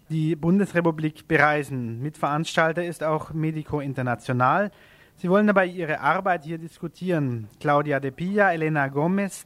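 Guy reading local event news on the radio, kinda high voice, dry close sound, informal. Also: no room sound. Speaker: radio presenter.

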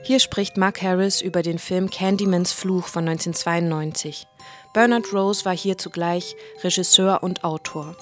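A woman with a slightly low voice presents a radio piece. She reads scripted narration over faint music. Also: fairly even intonation, moderate pace, fairly bright tone.

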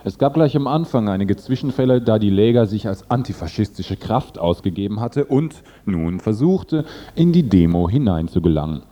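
Man, radio presenter, deep pitch, very dark soft timbre, scripted news and translation reading. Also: animated, normal speed, dull audio.

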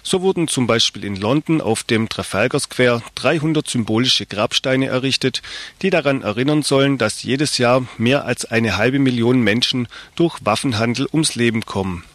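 A man's voice: medium pitch, moderate pace, a bit bright, no echo. Male news presenter, dry close sound. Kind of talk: scripted news narration.

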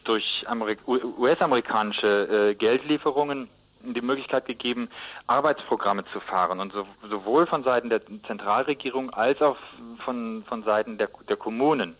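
Halting man explaining in an interview. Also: mid-range voice, fairly bright tone, rough recording. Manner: halting, repeats words while searching for phrasing. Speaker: interviewed expert on Nicaragua. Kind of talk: spontaneous explanatory radio interview.

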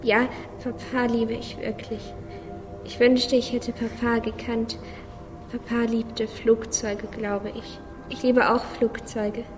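A woman's voice: fairly high pitch, little pitch movement, slow pace. A woman playing a naive, sad little boy musing simply in a radio play. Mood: pensive. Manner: simple childlike musing, repeating phrases. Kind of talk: radio play inner monologue. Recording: long reverb, music clearly behind.

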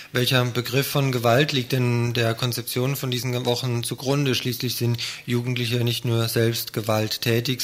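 A man's voice: mid-range voice, fairly bright tone. Plain-spoken male radio interviewer. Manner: plain, conversational questions.

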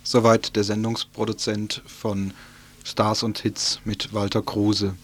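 A man's voice: lowish voice, level tone, slow, a little hiss or hum. A man presenting radio news from a script in a sober, matter-of-fact way.

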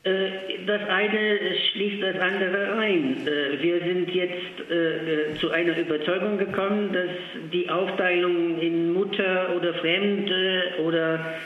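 A man's voice: high voice, steady tone. Man on an echoey phone line. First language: Polish. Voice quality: halting, many filled pauses. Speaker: interview guest, language centre official. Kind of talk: phone interview. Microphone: telephone.

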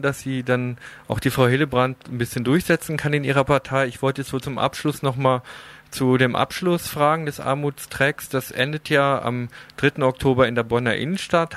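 A man's voice: mid-range voice, fairly bright tone. A man hosting an informal live radio interview, with a hesitant, wordy way of putting things.

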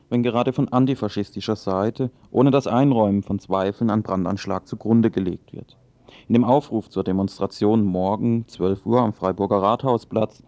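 Guy reading serious political commentary, dark gravelly voice, very dry sound, formal. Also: lowish voice, no echo. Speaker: radio news commentator.

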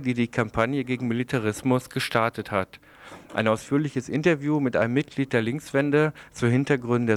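Man presenting radio news in a middling pitch. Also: dry recording. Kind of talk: news reading and programme announcements. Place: radio studio.

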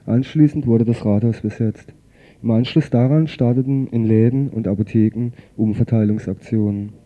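Male radio news presenter, scripted delivery, deeply mellow tone, muffled lo-fi sound. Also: fairly even intonation, normal speed. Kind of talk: scripted news report read aloud.

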